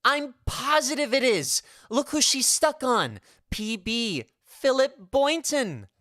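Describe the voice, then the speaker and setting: A woman's voice: high-pitched, sing-song, takes it slowly, a bit bright, no room sound, clean studio sound, full character voice. A woman voicing an earnest, melodramatic teenage schoolboy who declaims and confides, in vintage radio comedy style. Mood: insistent, earnest. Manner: conspiratorial, grandly declaiming.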